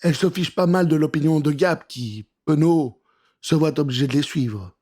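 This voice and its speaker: A man narrating a children's story in an expressive, theatrical and conspiratorial way, speaking straight to the listener. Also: on the high side, moderate pace. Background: music.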